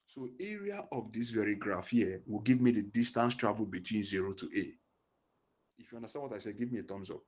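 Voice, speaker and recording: A man's voice: lowish voice, animated, a little fast, husky. A man tutoring physics in an online lesson over a headset on a video call, posing questions and answering them himself. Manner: asks leading questions and fills in the answers.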